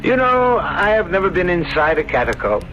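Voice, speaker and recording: A man's voice: high-pitched, very animated, moderate pace, over music. An actor playing a polite, inquisitive visitor in a 1970s radio drama style, musing as he talks. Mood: curious, musing. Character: curious, courteous male visitor to an estate. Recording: dull old radio broadcast.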